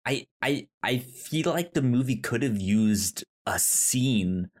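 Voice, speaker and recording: Podcast co-host chatting about movies, kinda halting, repeats words a lot, medium pitch. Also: expressive. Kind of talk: casual film discussion.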